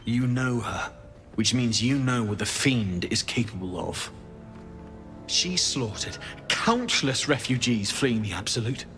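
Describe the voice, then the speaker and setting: An actor playing a guarded, devout, solemn holy warrior in a video game, speaking earnestly and formally. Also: male voice, mid-range voice, lively intonation, unhurried, fairly bright tone, faint music behind. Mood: grim, indignant. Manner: earnest, urgent, formal warning. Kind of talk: video game character acting.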